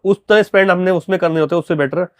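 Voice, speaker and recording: A man's voice: sits high, fairly even intonation, racing, no echo. A man explaining products conversationally as a dealer and installer. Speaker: car audio dealer and installer.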